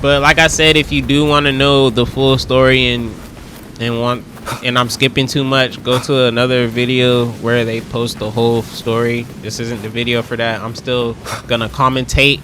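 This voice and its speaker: A man's voice: mid-range voice, level tone, normal speed, a bit bright, a little hiss or hum. A man giving casual, slangy gameplay commentary as a video host. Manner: informal, slangy.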